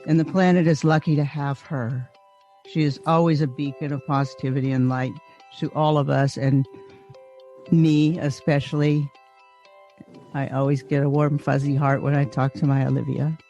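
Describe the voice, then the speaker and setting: Mellow-voiced woman podcast host, faint music. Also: sits low, little pitch movement, normal speed, muffled recording. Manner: admiring, with frequent restarts and fillers. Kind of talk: guest introduction and interview.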